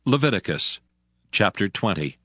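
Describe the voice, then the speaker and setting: A man reading scripture formally as a chapter announcer and narrator, measured and solemn. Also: lowish voice, sing-song, bright, forceful tone, slightly rough, no room sound, clean studio sound.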